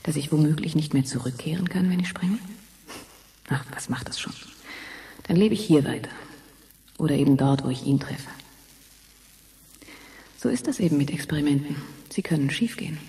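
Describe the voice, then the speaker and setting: Radio play: dreamy young female, dark muted tone, hushed inner monologue, heavily reverberant space. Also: sits low, moderate pace, long pauses, a little hiss or hum. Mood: resigned, musing. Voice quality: breathy, husky. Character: dreamy young woman, test subject of a time-jump experiment. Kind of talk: German science-fiction radio drama monologue. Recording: long reverberant hall echo.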